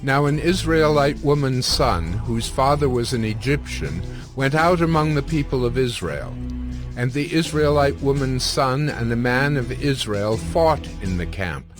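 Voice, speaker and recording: A man narrating scripture as a solemn, deliberate storyteller in a scripted production. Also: medium pitch, animated, music in the background.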